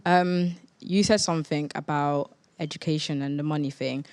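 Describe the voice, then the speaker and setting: Teenage student, medium pitch; impassioned audience speaker at a debate, colloquial and insistent. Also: steady tone, normal speed, clear, resonant tone. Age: teens, final school year. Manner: insistent, colloquial, repeats for emphasis. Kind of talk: impassioned challenge in a public debate.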